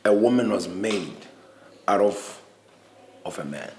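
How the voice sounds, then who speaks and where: Low-voiced young man, halting and earnest. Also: expressive, a little mellow, a little hiss or hum. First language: Xhosa. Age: 20s. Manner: earnest, halting with many restarts. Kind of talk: casual panel discussion.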